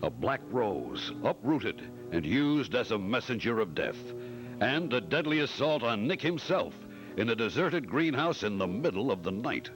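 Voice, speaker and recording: A man's voice: medium pitch, very animated, normal speed, loud music behind the voice. A man announcing in scripted old-time radio style, dramatic and emphatic, like a sponsor's salesman.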